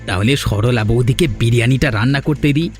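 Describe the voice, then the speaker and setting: A man's voice: mid-range voice, fairly quick, faint music behind, close to an everyday voice. A man voicing a cunning, greedy village thief in a cartoon, conspiratorial and persuasive. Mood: scheming. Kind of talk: cartoon dialogue acting.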